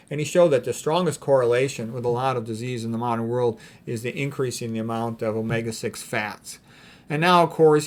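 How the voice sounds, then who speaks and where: A man giving an informal lecture in a blunt, opinionated, emphatic way, talking conversationally with rhetorical questions and frequent check-ins. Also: mid-range voice. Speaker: physician giving health commentary. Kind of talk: informal lecture, reading quotes and commenting.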